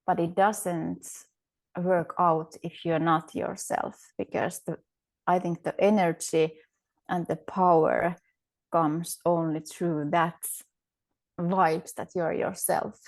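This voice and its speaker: Slow, gravelly Finnish-accented woman. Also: fairly low pitch, flat delivery. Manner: searching for words, many fillers and restarts. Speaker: podcast guest, astrologer. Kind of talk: reflective interview conversation.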